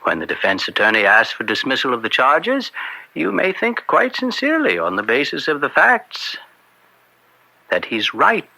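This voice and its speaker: An actor playing a middle-aged political fixer in an old-time radio drama, persuasive and polished, with a slick, insinuating way of talking. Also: male voice, mid-range voice, wildly swooping pitch, normal speed, creaky, pushed and bright, dry recording, exaggerated voice, low-quality recording. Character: smooth-talking political fixer, persuasive and slippery family friend.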